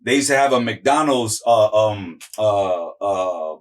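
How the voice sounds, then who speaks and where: A man's voice: lowish voice, expressive, takes it slowly, distant mic. A man in his forties from Miami, a guest telling stories in a loose, rambling way with lots of fillers and slang. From Miami, Florida. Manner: rambling, many fillers and restarts, profane slang. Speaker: interview guest, musical artist. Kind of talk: casual chat, storytelling.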